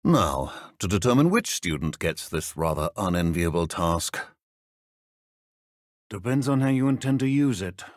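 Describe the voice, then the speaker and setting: An actor playing a stern, middle-aged wizard professor in a video game, speaking formal British English in a measured, dry manner. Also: male voice, low voice, very animated, no echo. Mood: dry, reserved. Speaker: professor character in a fantasy game. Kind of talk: scripted video game character dialogue.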